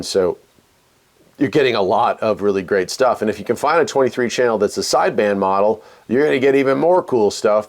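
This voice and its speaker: A man hosting a hobby video in a casual, folksy way, musing aloud as he demonstrates equipment. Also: fairly low pitch. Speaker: radio hobby video host. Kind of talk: casual hands-on demonstration chat.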